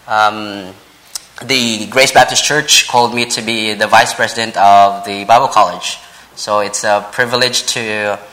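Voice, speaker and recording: Bright, slow-spoken Filipino man preaching. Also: on the low side, low-quality recording. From Philippines. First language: a Philippine language. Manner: halting, frequent pauses and repeated words, polite. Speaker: visiting preacher, Bible college vice president. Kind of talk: church sermon introduction and scripture reading.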